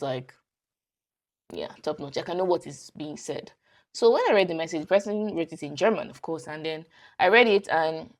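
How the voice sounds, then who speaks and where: A woman vlogging from her apartment, telling a story in a chatty way and laughing often. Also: sits low. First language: English. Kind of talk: casual vlog storytelling.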